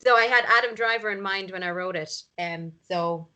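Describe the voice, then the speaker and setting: A woman's voice: mid-range voice, moderate pace, pushed and bright, lo-fi sound. A woman in casual chat, speaking as the writer hosting a table read.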